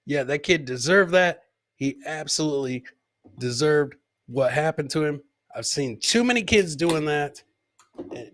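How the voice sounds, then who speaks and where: Higher-pitched man riffing on comedy clips. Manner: repeats phrases for comic effect; jokey, mimics people in the clips. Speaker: host of a live comedy podcast. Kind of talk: joking commentary on funny clips.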